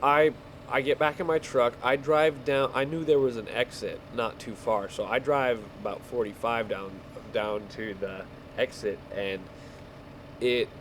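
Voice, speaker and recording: A man casually telling a rambling story while playing a game, halting and repeating words as he goes. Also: medium pitch, fairly even intonation, fairly bright tone, slight background noise. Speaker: video game player telling stories.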